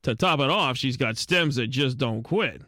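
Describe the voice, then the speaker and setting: An actor playing a wisecracking, streetwise penguin private eye who narrates in hard-boiled noir radio drama style. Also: male voice, medium pitch, lively intonation, normal speed, pushed and bright, no room sound, studio quality. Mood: smitten. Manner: streetwise slangy wisecracking delivery. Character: wisecracking penguin private detective, adult male, lovestruck and world-weary.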